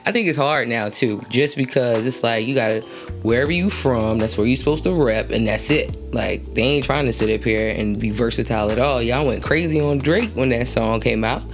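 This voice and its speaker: A woman's voice: a bass voice, brisk, faint music behind. A woman hosting a casual interview, chatty and slangy, asking long, rambling questions and laughing easily. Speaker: interview host.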